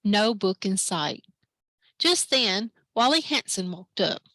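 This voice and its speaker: A woman librarian reading a children's picture book aloud at story time, lively and expressive. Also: mid-range voice, takes it slowly, no room sound. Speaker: library branch manager, story time reader.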